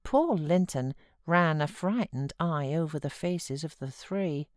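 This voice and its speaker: A woman with a British accent giving measured, expressive literary narration as an audiobook reader. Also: low-pitched, no room sound, studio quality.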